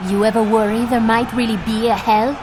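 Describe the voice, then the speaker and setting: A woman's voice: on the high side, level tone, brisk, background noise, natural voice. An actress playing a defiant, grieving young captive woman in a sci-fi audio drama, bitter and determined in manner. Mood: bitter, shocked.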